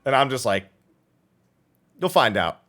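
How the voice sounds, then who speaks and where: A man chatting casually as a livestream host, telling anecdotes in an animated, profane way and acting out the dialogue. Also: medium pitch, a little slow.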